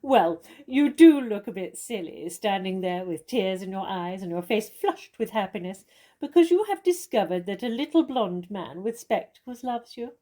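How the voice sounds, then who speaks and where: A woman's voice: medium pitch, animated, moderate pace, dark tone, lightly acted. A woman playing a worldly, witty famous actress in a stage comedy, with an arch, condescending and theatrical manner. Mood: teasing, self-assured.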